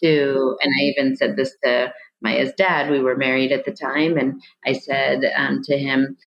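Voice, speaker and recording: A woman's voice: rumbling low, flat delivery, unhurried, dull audio. Middle-aged woman telling a family story. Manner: storytelling, with frequent restarts. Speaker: guest, adoptee mother. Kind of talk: reflective conversation.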